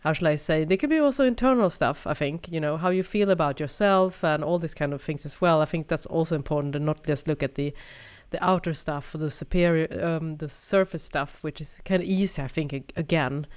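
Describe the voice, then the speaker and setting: A woman's voice: low voice, brisk, few pauses, a little mellow. A woman co-hosting a podcast in reflective casual chat, speaking with a cold. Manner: reflective, thoughtful, many "you know"s. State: has a cold. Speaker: podcast co-host.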